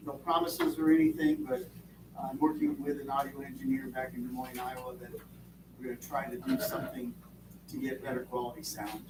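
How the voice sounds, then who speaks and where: A man's voice: mid-range voice, fairly even intonation, fairly quick, distant mic. A man from Vermont on a school board, giving rambling, folksy remarks with many fillers. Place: school board meeting room. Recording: echoey room, mic from afar, background noise.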